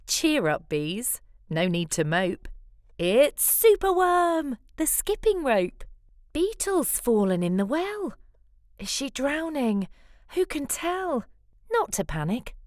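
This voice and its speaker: Slow, lively woman storyteller. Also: mid-range voice, no echo, studio quality. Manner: animated, deliberate phrasing. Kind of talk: expressive children's picture-book read-aloud.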